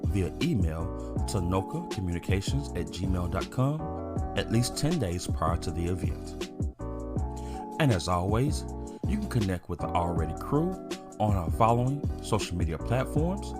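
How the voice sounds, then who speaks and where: A man reading scripted weekly church announcements in a smooth, upbeat announcer delivery. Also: deep voice, big pitch swings, moderate pace. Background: guitar music bed.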